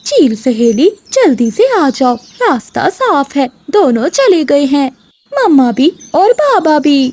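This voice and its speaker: A woman's voice: high voice, big pitch swings, slow, a little mellow, exaggerated voice. A woman voicing a spiteful, scheming female crow neighbour in a cartoon, scolding and conniving. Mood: sly.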